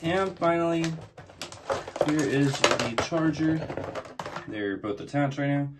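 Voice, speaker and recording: A man's voice: fairly high pitch, animated, takes it slowly, very noisy. A man hosting a casual product unboxing, explaining things plainly, step by step. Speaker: unboxing video host.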